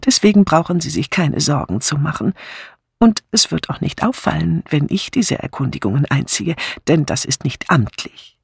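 A man voicing a friendly, chatty old lady in an audiobook, gentle, polite and curious. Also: a little high, sing-song, moderate pace, creaky, breathy edge, no room sound, clean studio sound, heavily acted. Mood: gently insistent. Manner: gentle, polite, slightly gossipy.

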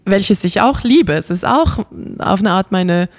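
A woman's voice: mid-range voice, lively intonation, unhurried. A woman talking casually and reflectively as a guest, enthusiastic and searching for her words. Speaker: interview guest, classical singer.

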